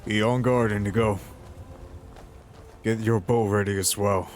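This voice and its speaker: A nervous, excitable fantasy hero played by a man in a game roleplay. He speaks slowly in a lowish voice, muttering to himself or calling out. Faint music plays behind him. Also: natural voice. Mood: hushed, nervous excitement. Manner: hushed muttering to himself, breaking into shouts. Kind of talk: in-character game roleplay commentary.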